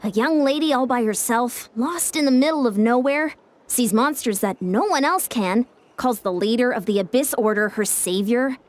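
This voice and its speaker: Woman as an earnest, high-voiced young war ally. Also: natural voice. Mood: serious, cautious. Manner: measured, earnest, cautious. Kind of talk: anime-style video game voice acting.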